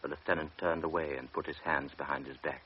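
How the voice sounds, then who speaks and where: A man narrating a radio drama in a steady, restrained manner. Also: extremely low pitch, fast, husky. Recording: muffled old radio recording with hiss.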